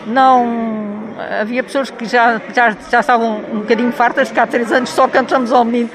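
A woman's voice: on the high side, fairly even intonation, a little fast, a crowd in the background, rough recording. A woman in her sixties from Alentejo with an Alentejo Portuguese accent, chatting in an interview and repeating herself emphatically. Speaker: interviewee, choir singer. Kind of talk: casual interview chat.

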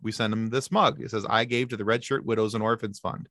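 Animated man telling podcast stories. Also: fairly low pitch, no echo, studio quality. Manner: animated storyteller, quick asides and restarts. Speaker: podcast guest, sci-fi podcast co-host. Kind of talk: casual interview chat.

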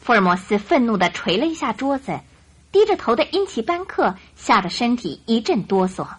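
A woman's voice: a little high, big pitch swings, normal speed, background noise. A woman narrating a Chinese radio drama in a scripted, dramatic storytelling style.

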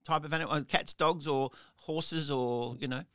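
A man's voice: on the high side, fairly even intonation, moderate pace, sharp tone, smooth, dry recording, studio quality. A man hosting a casual interview, asking rambling questions full of restarts and little laughs. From Australia. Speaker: interview host.

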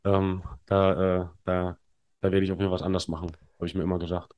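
Man in his thirties, podcast guest, frank and rambling, fairly low voice. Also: flat delivery, quick pace, smooth, highs cut off. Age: 30s. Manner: frank, rambling, frequent fillers and restarts. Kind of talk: candid conversational storytelling.

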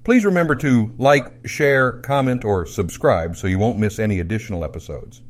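A man's voice: fairly low pitch, animated, moderate pace. A man reading a scripted introduction as an announcer. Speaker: channel announcer.

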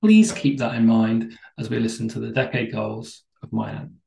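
A man's voice: lowish voice, fairly even intonation, moderate pace, vocal fry, warm tone. A man hosting a podcast interview, opening with dry, self-mocking jokes before asking questions. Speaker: podcast host. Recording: echoey room.

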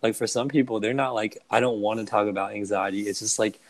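A man's voice: lowish voice, fast talker. A young adult man who grew up in the United States and China, hosting a podcast and talking casually with a blunt, swear-heavy manner. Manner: blunt, swears freely, relaxed. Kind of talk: casual conversation.

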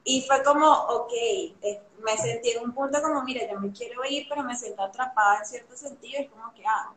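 A young woman from Venezuela with a Venezuelan accent, chatting casually as an interview guest, animated and full of fillers. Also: on the high side, fairly quick. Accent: Venezuelan Spanish. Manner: chatty and animated, many fillers, laughs easily. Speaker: guest artist. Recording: roomy echo.

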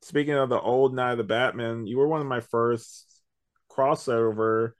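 A man's voice: medium pitch, normal speed, no echo, studio quality. A man hosting a podcast, chatting casually about a review and rambling as he thinks aloud. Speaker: podcast host.

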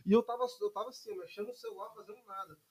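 Man in his twenties from Brazil, lively storyteller, high and mellow voice, dry sound. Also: racing. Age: 20s. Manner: animated storytelling, acts out dialogue. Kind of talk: casual chat.

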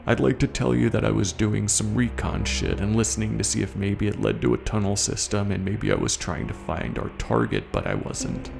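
A man playing a haunted, guilt-ridden war veteran in a horror audio story, confessing in a coarse, halting, gravelly way. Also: on the low side, expressive, over music. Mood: brooding, haunted. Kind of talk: first-person horror audio story narration.

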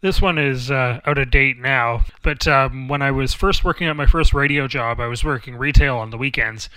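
A man's voice: mid-range voice, moderate pace, sharp tone, clear, resonant tone, no room sound, low-quality recording. A man co-hosting a casual podcast, easygoing and good-humored. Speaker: podcast co-host.

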